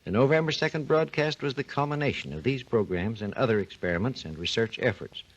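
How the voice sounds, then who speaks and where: A man with a somewhat husky voice explains technical history in an even, lecturing manner. His pitch moves freely and expressively as he talks. The sound is an old 1940s radio recording. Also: fairly quick, lo-fi sound. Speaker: research engineer guest. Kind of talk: scripted 1940s radio talk.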